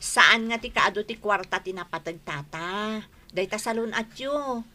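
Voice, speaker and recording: A woman's voice: mid-range voice, a little slow, sharp tone. An actress with an Ilocano accent playing a young woman in a radio drama who firmly urges an older man to stop drinking. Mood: pleading, firm.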